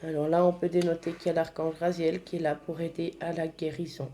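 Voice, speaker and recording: A woman giving a spiritual oracle card reading, speaking slowly and gently, slightly congested from a cold. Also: deep voice, little pitch movement. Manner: slow, gentle, reads card texts aloud.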